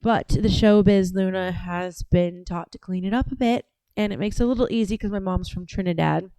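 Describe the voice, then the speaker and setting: Southern-born woman livestreamer, chatty and wandering, smooth voice at a middle pitch. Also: moderate pace, dark tone, dry recording, poor audio. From Georgia, US South. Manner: rambling, chatty, trails off and restarts. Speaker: livestream host. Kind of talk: casual livestream chat.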